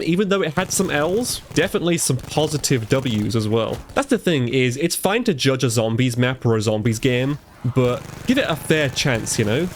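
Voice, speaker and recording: A man giving casual live commentary over gameplay, a bit congested from a cold, chatty and reacting out loud as he plays. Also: mid-range voice, a little hiss or hum. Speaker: gaming commentator.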